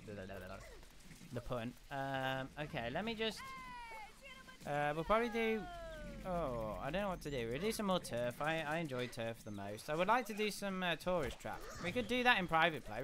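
A young man hosting a casual game livestream. He is chatty and rambling, with a slightly croaky voice. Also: a little high, sing-song, normal speed. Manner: chatty, rambling, often restarts and hums. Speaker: gaming livestream host. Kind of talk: casual live commentary. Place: home room. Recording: audible background noise.

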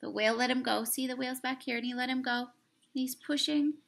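Young female storyteller for kids' picture book read-aloud; raised pitch, noticeable fry, expressive and questioning delivery. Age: young adult. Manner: expressive, drawn-out words, asks the listeners questions. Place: small room indoors.

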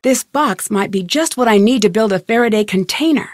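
A woman's voice: medium pitch, animated, a little fast, dry recording, studio quality, lightly acted. A woman voicing a young woman detective in game voice lines, asking earnest, inquisitive questions in a polite tone. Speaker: voice actor. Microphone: studio mic, dry.

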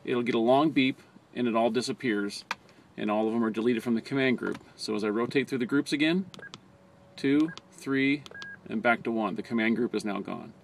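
American guy doing a training video, plain step by step instructions, middle pitch, some background noise on a camera mic. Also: moderate pace, creaky. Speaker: fire department communications trainer. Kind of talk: hands-on instructional video narration. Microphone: handheld camera or phone close by. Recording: noticeable background noise, amateur camera audio.

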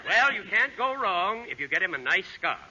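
A very noisy, muffled vintage radio recording carries a polite, eager shop clerk. His high voice rises and falls in animated comedy style. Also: normal speed. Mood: helpful. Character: eager, polite male department store clerk. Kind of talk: 1940s radio comedy acting.

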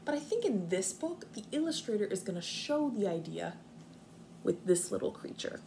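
A young woman, a kindergarten teacher, reading aloud expressively and explaining things simply and deliberately to small children. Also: medium pitch, very animated, creaky, dark tone. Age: young adult. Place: living room at home, indoors. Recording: faint room noise, home video.

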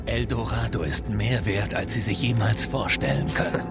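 A man's voice: fairly low pitch, lively intonation, moderate pace, natural voice. An actor playing a hard, ruthless mercenary henchman in a dubbed video game cutscene, terse, insistent and defensive. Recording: music behind the voice.

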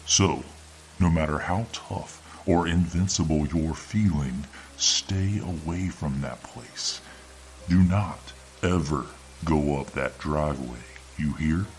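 A man narrating a scripted horror story in ASMR style, with an ominous, drawn-out delivery. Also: extremely low pitch, a little mellow, a little hiss or hum. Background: background music.

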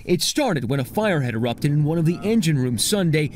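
A man's voice: on the high side, animated, brisk, a little mellow, slight background noise. A man reporting a news story as correspondent, with a dramatic, emphatic scripted delivery.

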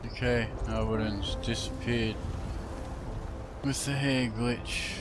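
Slow, offhand male gamer. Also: mid-range voice, fairly even intonation. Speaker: gamer narrating a playthrough. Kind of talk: casual game commentary. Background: game music and sounds.

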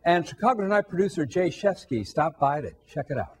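A man's voice: fairly high pitch, sing-song, fairly quick, loud music behind the voice. A man anchoring a news program in a TV studio, introducing a story in an upbeat scripted delivery.

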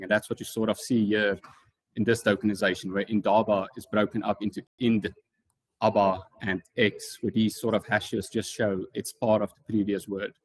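A man's voice: lowish voice, no echo, poor audio. A man with a South African accent presenting an informal technical tutorial as a conference speaker, explaining things in a casual way. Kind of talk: informal technical talk with slides.

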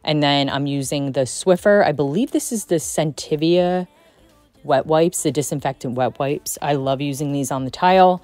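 A low-pitched woman in her thirties chats through her cleaning routine with easy enthusiasm. Her voice-over sounds dry and close, and faint music plays underneath. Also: normal speed, darkish tone, no room sound. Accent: Texan. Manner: chatty and enthusiastic, says "like" often. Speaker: cleaning vlogger. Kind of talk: casual voice-over narration.

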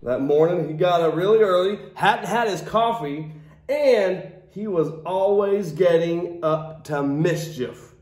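A man's voice: high-pitched, slow, darkish tone. A man in his thirties reading a picture book to children in a theatrical, exaggerated way, with playful asides to his young listeners. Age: 30s. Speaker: children's storytime host, teacher. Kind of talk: animated picture-book read-aloud for kids. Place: classroom. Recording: roomy echo of a classroom.

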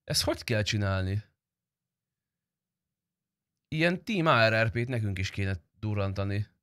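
A man's voice: on the low side, expressive, fairly bright tone, clean tone, no echo, clean studio sound. A young man chatting casually on a game livestream in informal, slangy, sometimes profane speech. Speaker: game streamer.

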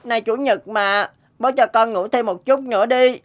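A woman's voice: fairly high pitch, moderate pace, soft background music, natural voice. A woman voicing a timid, weary little schoolboy in a cartoon, with a hesitant, pleading manner. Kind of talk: cartoon voice acting.

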